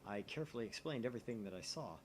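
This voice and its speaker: A man's voice: medium pitch, rapid-fire. A man narrating a visual novel aloud expressively, dropping in casual asides. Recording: steady faint background noise.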